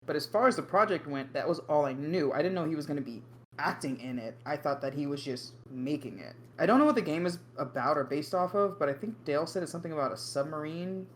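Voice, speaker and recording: A man's voice: a little high, expressive, quick pace. A man on a reaction panel, chatting casually and loosely. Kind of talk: casual chat with swearing. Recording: faint background noise.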